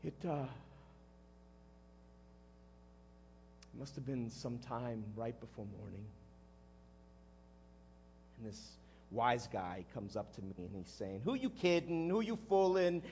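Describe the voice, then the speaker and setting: An actor playing a guilt-ridden, impulsive fisherman disciple in a halting, conversational dramatic monologue, with a husky male voice. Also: fairly high pitch, sing-song, lo-fi sound, a little hiss or hum. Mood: bitter, defensive. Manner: halting, conversational, erupting into shouts. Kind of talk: dramatic first-person monologue.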